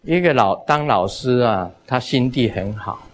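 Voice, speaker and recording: A man's voice: medium pitch, animated, normal speed. A man in his sixties, a Buddhist master giving a dharma talk, telling jokes and stories in short phrases. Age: 60s. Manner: lighthearted, storytelling in short phrases.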